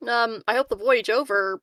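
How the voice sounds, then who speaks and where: A woman's voice: a little high, fairly bright tone, dry recording, studio quality. A woman playing a tabletop roleplaying game, chatting casually and tentatively in character. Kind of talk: casual chat, speaking in character.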